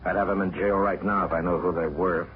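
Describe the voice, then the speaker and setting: An actor playing a calm, firm frontier marshal with a measured, commanding delivery. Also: male voice, sits low, natural voice. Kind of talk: 1950s radio drama acting. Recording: tinny, hissy old radio broadcast.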